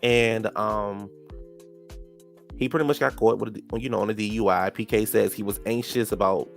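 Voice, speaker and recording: A man's voice: on the low side, steady tone, moderate pace, vocal fry, soft background music. A man with a stuffed-up nose giving a chatty, sassy recap of a reality show, calling people "child" and "honey". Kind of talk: casual recap commentary.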